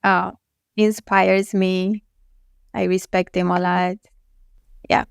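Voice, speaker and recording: A woman from Romania, a guest in a casual interview, speaking in a calm, reflective and measured way. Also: lowish voice, vocal fry, clean tone, no echo, clean studio sound.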